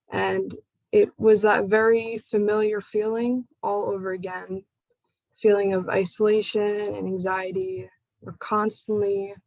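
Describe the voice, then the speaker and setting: Young woman, slow and halting personal talk, dry close sound. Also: medium pitch, creaky, no room sound, rough recording. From United States. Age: young adult. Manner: slow, halting, pausing between phrases. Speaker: speaker sharing her personal story. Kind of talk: personal talk to a support group.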